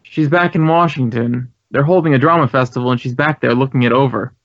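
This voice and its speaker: An American actor playing an earnest, courteous and talkative director of a drama school in an old radio drama. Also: male voice, mid-range voice, brisk, no room sound, natural voice. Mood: puzzled, chatty. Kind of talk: 1950s radio acting. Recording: dull, dry old radio recording.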